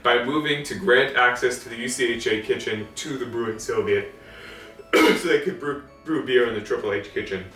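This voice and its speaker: A man giving an informal history talk, reading from old documents in a halting, amused way. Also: mid-range voice, a bit bright. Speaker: host of a co-op history series. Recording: echoey room, distant mic, faint music.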